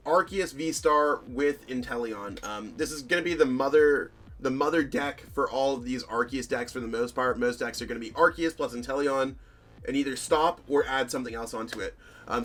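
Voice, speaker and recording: A man with a slightly hoarse voice, hosting a casual, rambling commentary over card game deck lists, full of fillers and self-corrections. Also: mid-range voice, fairly bright tone.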